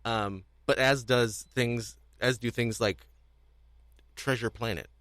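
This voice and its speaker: A man's voice: mid-range voice, steady tone, slow pace, a bit bright, no echo, studio quality. A man co-hosting a casual podcast discussion, talking in a rambling, self-repeating way with a readiness to chuckle. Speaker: podcast co-host.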